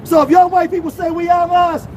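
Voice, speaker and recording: A man's voice: very high voice, flat delivery, brisk, darkish tone, some chatter behind, a little hiss or hum. A man giving an emphatic, profane speech at an outdoor street protest. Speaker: protest speaker. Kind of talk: impassioned street speech, then street chat.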